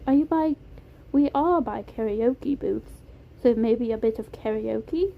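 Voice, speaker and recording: A woman voicing a shy, polite, gentle male animatronic with a soft British accent, in an amateur story reading. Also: a little high, takes it slowly, full character voice. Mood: gentle, eager. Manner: soft-spoken, polite, hesitant. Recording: tinny phone-like audio, clear noise.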